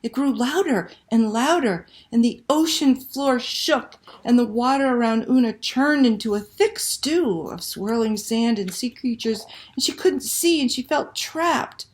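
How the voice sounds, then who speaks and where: A woman telling a picture-book story to children in a dramatic, expressive read-aloud style. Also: on the high side, unhurried. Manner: dramatic, animated delivery with hushed passages and exclamations.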